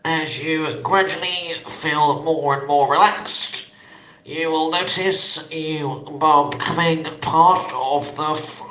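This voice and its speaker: A man's voice: a little high, steady tone, slow pace, pushed and bright. A man voicing a soothing hypnotist who guides a relaxation session. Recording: echoey, reverberant.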